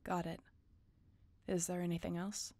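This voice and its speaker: A woman's voice: on the low side, little pitch movement, measured pace, mellow, slight background noise. A woman playing a cool, dutiful werewolf enforcer in an audio drama, curt and controlled. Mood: businesslike. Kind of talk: audio roleplay drama acting.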